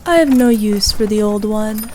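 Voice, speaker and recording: A woman playing a haughty, ruthless evil queen who dotes on her favourite knight, speaking in a regal, imperious, measured manner for an ASMR roleplay. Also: mid-range voice, a little mellow, slight background noise, lightly acted. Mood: teasing, commanding. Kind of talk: ASMR roleplay, scripted character acting.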